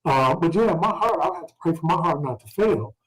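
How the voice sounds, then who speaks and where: A man with a somewhat raised pitch teaches scripture in an informal way. He moves between reading verses and explaining them in everyday words, prompting listeners with questions as a study leader would. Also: animated, brisk, rough recording.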